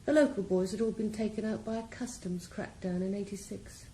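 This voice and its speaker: A woman's voice: medium pitch, steady tone, fairly quick, warm tone, close to an everyday voice. An actress in a radio drama playing a ruthless female drug boss, cool, composed and mocking. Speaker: criminal mastermind. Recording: roomy echo, faint background hiss.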